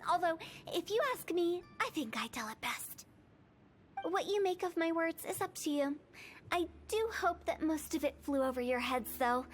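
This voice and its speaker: A woman voicing a playful, mischievous young funeral parlor director who tells old tales in a game. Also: extremely high pitch. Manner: teasing, then solemn storytelling. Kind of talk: game cutscene voice acting. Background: game music.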